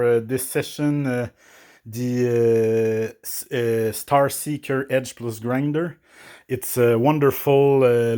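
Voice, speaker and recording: Slow, hesitant man reviewing coffee gear. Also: medium pitch, darkish tone. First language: not English. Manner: hesitant, many filler sounds and restarts. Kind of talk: unscripted product review. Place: small apartment kitchen coffee station.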